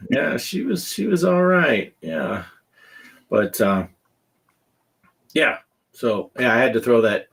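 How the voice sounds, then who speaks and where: Husky fifty-something guy hosting a gaming podcast, slow and drawn out, voice swinging up and down a lot, jokey. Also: medium pitch, sing-song, fairly bright tone. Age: 50s. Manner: joking, slow, reads chat comments aloud. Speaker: podcast host. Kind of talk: casual chat.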